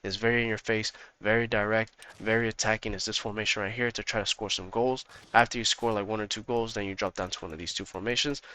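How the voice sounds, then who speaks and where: A man hosting a gaming channel, walking viewers through his tactics in a casual, rambling way that repeats itself, his voice slightly hoarse from being sick. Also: on the low side, steady tone, fast, hardly any pauses, sharp tone, rough recording. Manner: rambling, repeats himself, talks straight to viewers.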